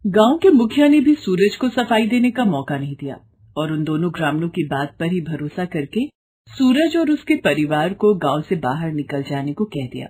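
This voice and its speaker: A woman's voice: on the low side, sing-song, normal speed, a little mellow, breathy edge. A woman reading scripted fairy-tale narration as a storyteller.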